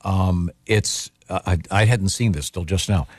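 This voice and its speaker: A man hosting a radio talk show, bantering casually and reading out listener questions in a halting, teasing way. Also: deep voice, no room sound, clean studio sound.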